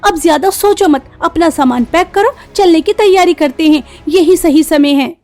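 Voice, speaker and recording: Woman voicing a very high, scornful young village sister. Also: normal speed, mellow. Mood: urging. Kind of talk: Hindi cartoon story voice acting.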